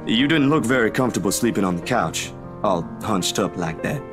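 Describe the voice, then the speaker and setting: An actor playing an easygoing, friendly young man in a visual novel, talking in casual, expressive slang. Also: lowish voice, brisk, music in the background, natural voice. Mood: friendly, reassuring.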